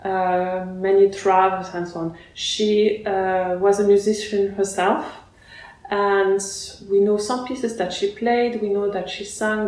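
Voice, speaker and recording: Soft-spoken slow woman in an echoey room. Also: medium pitch. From Russia. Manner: hesitant, many fillers and restarts. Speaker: guest musician. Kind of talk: interview.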